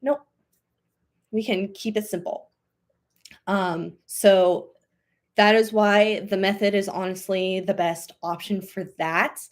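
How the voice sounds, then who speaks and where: A woman's voice: mid-range voice, fairly even intonation, slow, long pauses. A woman in her thirties hosting a live question-and-answer stream as a language coach, talking casually and upbeat with lots of "um" and "like". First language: English. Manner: chatty, upbeat, many fillers like "um" and "like".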